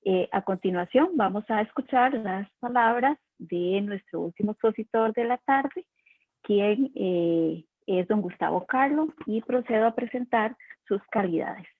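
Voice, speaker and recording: A woman's voice: mid-range voice, animated, a little slow, no echo. A woman moderating an online webinar, formally introducing the next speaker, with frequent fillers. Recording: tinny, phone-like audio.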